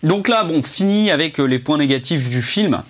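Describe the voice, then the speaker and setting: A man's voice: a little high, expressive, normal speed, slight background noise. A young man giving a casual, rambling film review, chatty and full of fillers and restarts, speaking indoors to a camera under a ring light.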